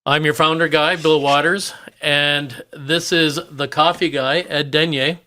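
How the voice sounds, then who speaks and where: A man's voice: a little high, fairly even intonation, unhurried, bright, forceful tone. A man hosting a podcast, reading a scripted introduction in an upbeat manner. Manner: upbeat, laughs often. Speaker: podcast host. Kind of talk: scripted introduction, then casual chat.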